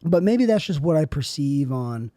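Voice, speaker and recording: A young man with a raspy, airy voice, rambling through a solo podcast monologue as host. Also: fairly high pitch, big pitch swings, moderate pace, warm tone, no room sound, clean studio sound. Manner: rambling, self-questioning, trailing off.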